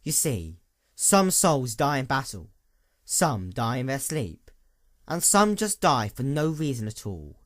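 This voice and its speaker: A man voicing a weary, bitter female unicorn in a story, with a quiet, measured murmur. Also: medium pitch, sing-song, dry recording, studio quality. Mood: bleak.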